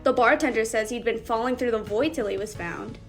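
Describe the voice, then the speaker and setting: Female actor as teasing bar storyteller, higher-pitched, unhurried, suspenseful ghost-story delivery over music. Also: natural voice. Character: teasing bar storyteller spinning a spooky Halloween tale. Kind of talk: dramatic ghost-story narration.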